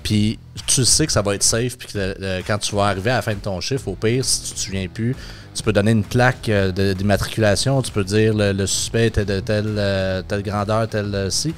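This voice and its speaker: A man's voice: fairly low pitch, fairly even intonation, a little fast, faint music behind. A man with a Québécois accent, co-hosting a casual podcast chat about radio gear, mixing in English words. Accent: Québécois French. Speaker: podcast co-host, crowd management.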